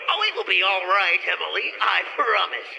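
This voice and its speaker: A man's voice: sits high, very animated, moderate pace, vocal fry, sharp tone, exaggerated voice, low-quality recording. A man playing an eager, wholesome young hero with an earnest gee-whiz manner in a cheesy radio drama. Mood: earnest, reassuring.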